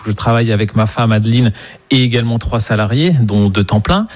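Earnest, hesitant market gardener. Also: male voice, fairly low pitch, fairly quick, a little mellow. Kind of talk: interview answers.

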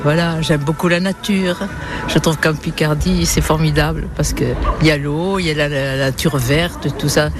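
An elderly woman with a softened Toulouse accent chats as an interviewee, chuckling and repeating short phrases. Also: low-pitched, steady tone, moderate pace, over music. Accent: softened Toulouse, southern French. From Toulouse, southern France. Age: 70s or older. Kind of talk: casual chat.

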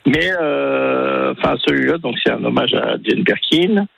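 Very creaky, halting man calling in. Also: medium pitch, a crowd in the background. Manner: hesitant, halting, repeats words, agreeable. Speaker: regular listener calling in to a radio show. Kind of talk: casual chat.

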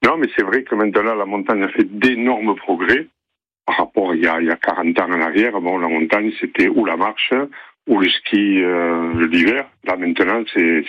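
A middle-aged man from southern France calling in to a radio show, rambling with many pauses. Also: low voice, dry recording. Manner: rambling, hesitant with many fillers. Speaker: caller. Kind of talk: phone-in chat. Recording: muffled phone-line audio.

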